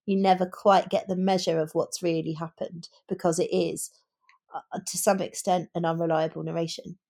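A woman's voice: on the low side, flat delivery, moderate pace, gravelly, a little mellow. A woman co-hosting a podcast in a casual book discussion, reflective and often feeling for the right word as she gives her view.

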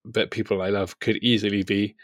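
A man's voice: lowish voice, little pitch movement, fairly quick, no echo, clean studio sound. A man co-hosting a conversational book discussion, tentative and hedging as he trails off from his points. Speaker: podcast co-host.

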